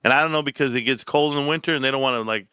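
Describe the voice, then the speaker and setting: A man's voice: medium pitch, very fast, pushed and bright, smooth, dry recording. A man with a Southern Californian accent bantering casually as a live stream host, slangy, joking and teasing. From California, United States.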